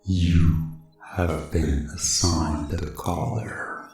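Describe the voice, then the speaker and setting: A man's voice: low voice, fairly even intonation, very slow, darkish tone, faint music behind, voice pushed down. A man voicing an artificial factory programming voice, cold and commanding, in formal, clipped announcements.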